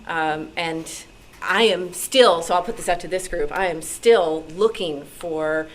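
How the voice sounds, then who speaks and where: A woman from New York City telling personal anecdotes to a club audience in an animated way, acting out remembered dialogue. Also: lowish voice, a little slow. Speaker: radio drama actress and sound effects performer, guest speaker. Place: meeting room with audience. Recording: noisy room at a club meeting.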